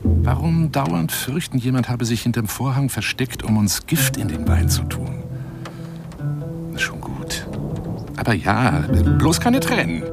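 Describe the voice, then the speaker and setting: A man's voice: mid-range voice, very animated, measured pace, gravelly, loud music behind the voice. A man playing a boastful, touchy lion hunter in a radio play monologue, speaking in a wheedling, pompous manner. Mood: coaxing, agitated. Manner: wheedling, pompous, swinging into whispers. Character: boastful, touchy male lion hunter telling tall tales.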